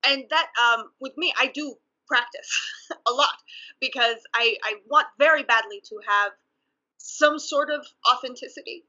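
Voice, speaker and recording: A woman speaking English with a Mexican accent in a deliberate, earnest way, often laughing softly between thoughts, in a casual conversation over a video call. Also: high-pitched. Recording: muffled video call audio.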